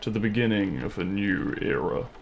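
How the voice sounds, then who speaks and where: An actor playing a demon king, a deliberate and intimate lover, speaking straight to the listener in a romantic audio roleplay. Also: lowish voice, animated, gravelly, lo-fi sound, heavy background noise. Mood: smug, commanding. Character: feared demon king, menacing in public, tender lover in private.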